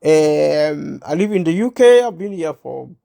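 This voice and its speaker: A man of sixty from Uganda, hosting his own channel and talking to camera in a halting way, with many pauses, fillers and restarts, clearing his throat as he is unwell. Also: a little high, animated, warm tone. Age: 60s. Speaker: channel host. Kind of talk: casual talk to camera.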